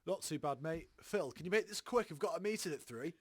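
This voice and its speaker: A man's voice: on the high side, expressive, brisk, slightly rough, dry recording, studio quality. A man playing an impatient, irritable newspaperman in an audio drama.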